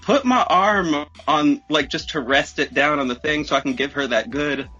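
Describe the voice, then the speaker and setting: A man's voice: fairly high pitch, moderate pace, husky, dull audio, soft background music. A man telling a story casually on a podcast, swearing freely and acting out what people said. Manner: expressive, acts out quoted remarks. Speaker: podcast speaker.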